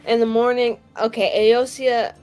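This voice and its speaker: A woman's voice: fairly high pitch, little pitch movement, a little slow, a little mellow, clear, resonant tone, soft background music. A woman playing a tabletop roleplaying game, chatting casually in a playful, informal way.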